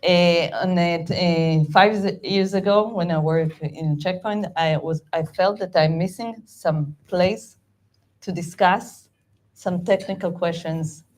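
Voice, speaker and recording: Israeli woman, halting animated talk. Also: lowish voice. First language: Hebrew. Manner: halting, animated, many fillers and restarts. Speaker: guest speaker, project management VP. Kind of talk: meetup talk.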